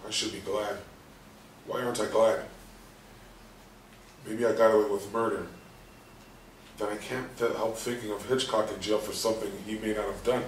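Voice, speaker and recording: An actor playing a subdued, guilt-ridden man recovering from a coma, with halting, effortful speech in a stage play reading. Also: on the low side, steady tone, close to an everyday voice. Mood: brooding. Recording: roomy echo, distant mic, faint noise.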